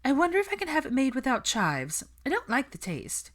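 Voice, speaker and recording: Audiobook character: snobbish, opinionated young woman, done by a female narrator, raised pitch, condescending and matter-of-fact. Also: wildly swooping pitch, brisk, fairly bright tone, full character voice. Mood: fussy.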